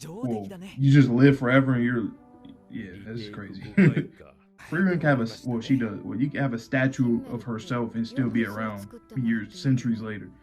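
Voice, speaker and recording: A man's voice: medium pitch, moderate pace, darkish tone, faint music behind. A man giving slangy, laid-back commentary in a casual reaction chat. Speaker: anime reaction host.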